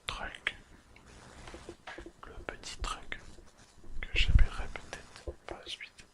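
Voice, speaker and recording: A person whispering an ASMR recap in a breathy, unvoiced voice, speaking deliberately in short, separated phrases as the host. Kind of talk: whispered ASMR episode summary and commentary.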